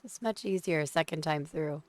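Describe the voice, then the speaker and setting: A woman streaming a game while sick, her voice squeaky, chatting and muttering to herself. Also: brisk, a little mellow. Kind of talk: casual gameplay commentary.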